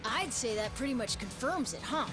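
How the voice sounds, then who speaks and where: A breezy female pirate crewmate played by a woman, high in pitch and cheeky. It is video game cutscene dialogue with music clearly behind. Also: expressive, normal speed, close to an everyday voice. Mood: wry.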